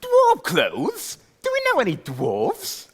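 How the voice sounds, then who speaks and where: A man playing a pompous, fussy, excitable king in broad pantomime comedy, with a posh, dithering manner. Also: very high voice, takes it slowly, breathy edge, full character voice.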